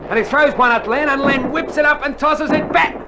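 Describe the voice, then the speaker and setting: A young Australian digger in an old radio war play, cheeky and slangy. His voice is high for a man. Loud noise and artillery rumble fill the worn vinyl recording. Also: a little fast. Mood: feverish excitement. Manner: slangy, laconic, then excitable. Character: younger Australian infantry soldier, cheeky and excitable. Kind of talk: 1960s radio drama acting. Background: artillery and battle sound effects.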